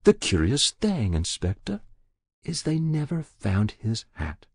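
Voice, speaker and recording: A man's voice: mid-range voice, huge pitch swings, takes it slowly, slightly rough, no echo, clean studio sound, pitched above the performer's own voice, exaggerated voice. A man voicing an elderly confessing woman. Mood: pained. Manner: quiet, pained, confessional.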